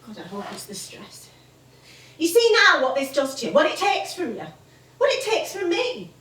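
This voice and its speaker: An actress with a Northern English accent playing a caring, worried adult daughter in a stage drama. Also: female voice, high voice, wildly swooping pitch, moderate pace, bright, forceful tone, full character voice. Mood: worried, pleading. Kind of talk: theatrical stage acting. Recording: echoey theatre, distant mic.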